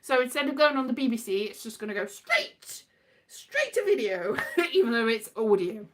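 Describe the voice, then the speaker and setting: A British woman with a high, very lively voice rambles casually as if thinking aloud. She is whimsical and digressive, with a playfully theatrical streak and big swings in pitch. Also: moderate pace, very noisy. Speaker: performer and vlogger.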